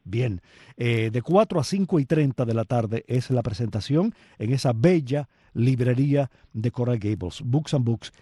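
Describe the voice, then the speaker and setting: A male radio host with a dark, husky voice talks in a friendly, chatty way. The studio sound is dry and close. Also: medium pitch, lively intonation, measured pace, no echo. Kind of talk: radio interview.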